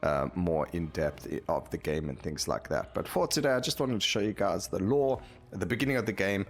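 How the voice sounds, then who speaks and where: A man's voice: low-pitched, expressive, brisk. A man hosting a gameplay video, talking casually and rambling as he thinks aloud while he plays. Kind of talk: casual game commentary.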